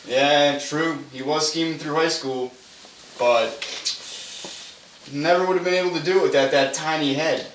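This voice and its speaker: A man's voice: on the high side, steady tone, normal speed, pauses often, natural voice. Young man playing a petty gossip, distant and echoey. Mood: petty, insistent. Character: petty, gossipy man who mocks others. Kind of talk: amateur one-act stage play dialogue. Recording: echoey room, distant mic, hissy noise.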